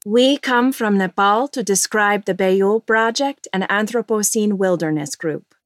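A woman's voice: mid-range voice, unhurried, no echo, clean studio sound. Woman reading names matter-of-factly. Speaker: representative. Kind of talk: scripted roll-call reading.

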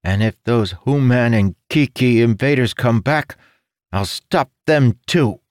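A man voicing a young winged creature boy, high and earnest. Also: slow, no echo, clean studio sound, close to an everyday voice. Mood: resolute. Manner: high, earnest and pleading, rising to shouts. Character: young winged trunked male creature, grieving, determined. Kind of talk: storybook reading.

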